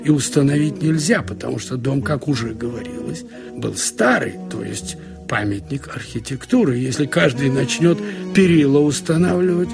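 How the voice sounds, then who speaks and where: A man narrating a short story in a conversational, wry way, with expressive asides, like a radio audiobook reader. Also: mid-range voice, normal speed, creaky, over music. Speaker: narrator reading literary prose.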